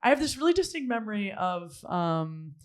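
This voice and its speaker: A woman co-hosting a podcast who has a low voice and tells rambling stories in casual chat, with many fillers. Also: very animated, normal speed. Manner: chatty storyteller, rambling with fillers. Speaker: podcast co-host.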